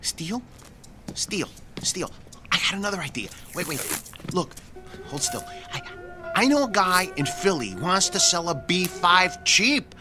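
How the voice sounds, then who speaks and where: A jittery, worried sidekick who pleads and stammers in a fairly high voice. His pitch swings widely as he frets. Dramatic music plays underneath this radio play. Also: sing-song, unhurried. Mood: anxious. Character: anxious, pleading male sidekick.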